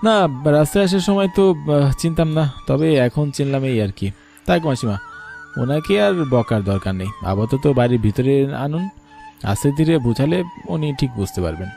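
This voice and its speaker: A man narrating a cartoon and voicing a polite young village man, gentle in manner. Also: mid-range voice, expressive, normal speed, gravelly, faint music behind.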